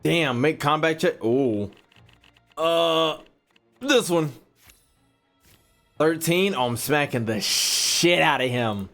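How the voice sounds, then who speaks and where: Animated, slangy male gaming streamer. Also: fairly high pitch, slow pace, long pauses, faint music behind. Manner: slangy, blunt, joking, reactive. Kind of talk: casual profane gameplay commentary.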